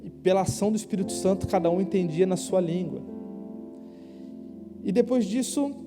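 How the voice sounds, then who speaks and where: A young man preaching a casual youth sermon in a church, slangy and conversational, asking the crowd quick questions. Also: high-pitched, over music. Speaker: youth pastor. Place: church hall. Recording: live church hall with long reverb.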